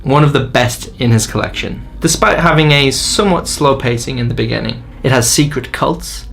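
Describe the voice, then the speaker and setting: A man's voice: mid-range voice, moderate pace, gravelly, a bit bright, a little hiss or hum. A man narrating a scripted book review in an enthusiastic, opinionated way. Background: faint music.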